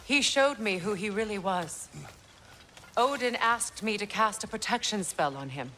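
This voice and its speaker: A woman playing a sorrowful, regal middle-aged goddess and mother in a video game, speaking in a measured, earnest, wistful way. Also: medium pitch, lively intonation, pushed and bright, slight background noise. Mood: bitter, hurt. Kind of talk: cinematic video game acting.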